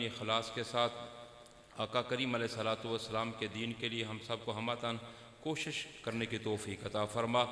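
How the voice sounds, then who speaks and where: A man leading a closing supplication in Punjabi-accented Urdu, measured and devotional. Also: medium pitch, sharp tone, slight background noise. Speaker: prayer leader. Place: outdoor religious gathering with loudspeakers. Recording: echoey hall with long reverb.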